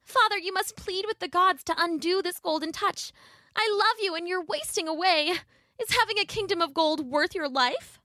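A woman's voice: very high voice, moderate pace, sharp tone, no room sound, clean studio sound, pitched above the performer's own voice. A woman voicing a loving young princess in a story, pleading with earnest, dramatic delivery. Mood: pleading, upset.

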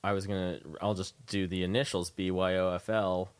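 A man's voice: deep voice, flat delivery, fairly quick, clean tone. An American man hosting a casual radio interview, putting loose, chatty questions with a joking, informal touch. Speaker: radio show host, interviewer.

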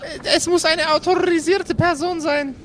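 A man's voice: extremely high pitch, fairly even intonation, normal speed, a bit bright, slight background noise, voice pushed up. A man voicing a polite young female library receptionist in a fantasy game, courteous and a little flustered. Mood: flustered, helpful.

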